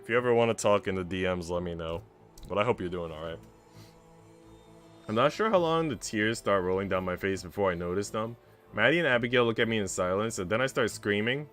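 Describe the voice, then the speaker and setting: A man's voice: fairly low pitch, fairly quick, a bit bright. A man livestreaming, talking in an informal, slangy, rambling way as he chats and reads a visual novel aloud. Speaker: streamer. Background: faint game music.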